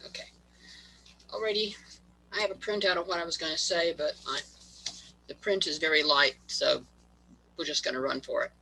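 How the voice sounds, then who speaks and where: A man giving a prepared talk over a video call, reading haltingly and stumbling on words, his voice worn from allergies. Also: fairly high pitch, steady tone, gravelly, sharp tone, slight background noise, low-quality recording. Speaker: guest presenter.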